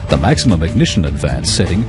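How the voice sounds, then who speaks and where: A man reading scripted technical narration for an educational film in a measured, explanatory, impersonal manner. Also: very deep voice, sing-song, over music.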